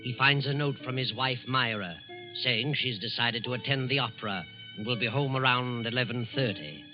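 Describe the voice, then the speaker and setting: A man with an American accent delivering measured, theatrical narration as host of a 1940s radio drama. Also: mid-range voice, steady tone. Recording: muffled 1940s radio recording, loud music.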